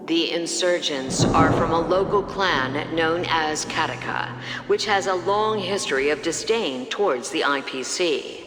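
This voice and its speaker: Formal news anchor lady in a game, low impassive voice, echoey w music. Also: measured pace. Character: formal, impassive female corporate news broadcaster. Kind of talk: scripted news bulletin. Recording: echoing reverb, music behind.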